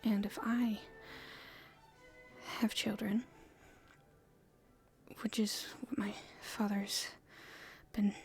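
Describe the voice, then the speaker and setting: A woman playing an earnest, hopeful young princess in a soft, halting, breathy whisper for an ASMR roleplay. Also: mid-range voice, flat delivery, faint music behind. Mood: thoughtful, hopeful. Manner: halting, soft-spoken, thinking aloud.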